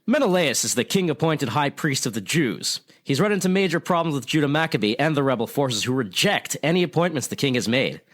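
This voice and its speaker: A man's voice: on the high side, animated, brisk, hardly any pauses, a bit bright. A man playing an earnest, probing news reporter, formal and pointed, in old-time radio style.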